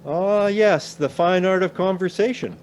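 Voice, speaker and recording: An actor playing a cautious, pedantic older professor in a naturalistic radio play, formal, dry and reserved in manner. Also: male voice, high voice, unhurried, darkish tone, slight background noise. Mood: dry, measured.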